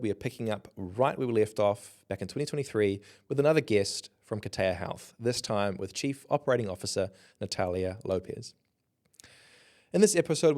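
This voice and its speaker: A man's voice: medium pitch, darkish tone, no room sound, studio quality. A man hosting a podcast and interviewing a guest in a friendly, chatty way, with frequent "um"s. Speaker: podcast host. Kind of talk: podcast interview, casual chat.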